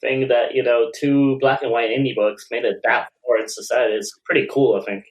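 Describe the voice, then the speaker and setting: A man's voice: mid-range voice, steady tone, brisk, rough recording. A man co-hosting a podcast, chatting casually in an informal, slangy way. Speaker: podcast co-host. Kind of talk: casual chat among comics fans.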